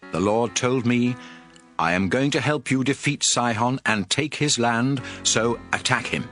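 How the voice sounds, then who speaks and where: A man narrating scripture as a dramatized audio Bible, with a measured, expressive storytelling delivery. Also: medium pitch.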